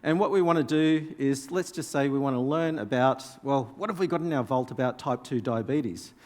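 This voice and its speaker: A man whose first language is Danish gives a live software demonstration to a conference audience, explaining each step in a casual way and putting questions to the room. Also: mid-range voice. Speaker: conference presenter. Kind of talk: live software demo talk. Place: large conference hall. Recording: reverberant conference hall.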